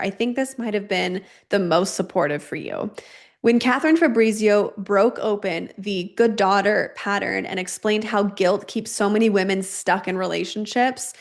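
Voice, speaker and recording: A woman hosting a podcast. She affirms her guest warmly and introduces the next clip, with some casual swearing. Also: medium pitch. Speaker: podcast host.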